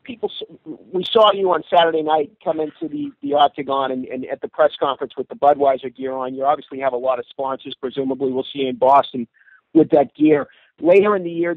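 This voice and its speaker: Male journalist, slightly high gravelly voice, halting and wordy, questioning by telephone. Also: no room sound. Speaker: sports reporter. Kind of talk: probing press question. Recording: narrowband phone conference line.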